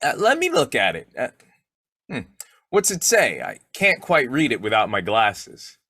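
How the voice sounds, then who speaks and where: A man's voice: medium pitch, wildly swooping pitch, a little slow, pushed and bright, breathy edge, no room sound, full character voice. A man acting a snoopy, folksy husband who cracks slangy jokes in an old-time radio comedy. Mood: teasing. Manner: folksy, jokey, slangy delivery.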